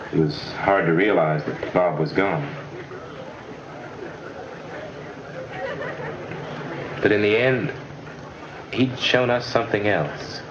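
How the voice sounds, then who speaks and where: An earnest, nostalgic student narrator in a 1950s school film. His voice sits fairly low and his telling is plain and folksy. The soundtrack is dull, with faint noise behind him. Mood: somber.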